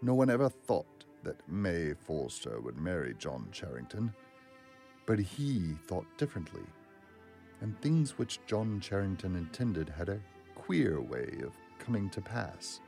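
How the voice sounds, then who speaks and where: A man reads in a deep register with a soft, dark timbre. His delivery is that of a dramatic literary audiobook narrator. Music plays behind him. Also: very animated, unhurried, vocal fry. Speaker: narrator and presenter of dramatic readings.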